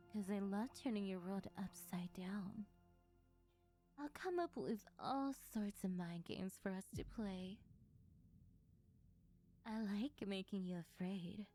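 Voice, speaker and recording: Woman acting a slow, whispery, possessive demon lover. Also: medium pitch, mellow, lightly acted. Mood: taunting, gloating. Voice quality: breathy, often dropping into whispers. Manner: slow, teasing, menacingly intimate. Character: possessive, taunting female sleep paralysis demon, yandere lover. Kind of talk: ASMR roleplay monologue. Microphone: close ASMR microphone.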